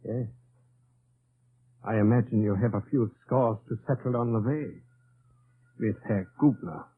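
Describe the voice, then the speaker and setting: A man's voice: fairly low pitch, unhurried, narrow, tinny audio, close to an everyday voice. An actor playing a confident, urgent undercover resistance man in an old radio drama. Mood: urgent, reassuring. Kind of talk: 1950s radio drama acting. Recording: hissy, thin old radio broadcast.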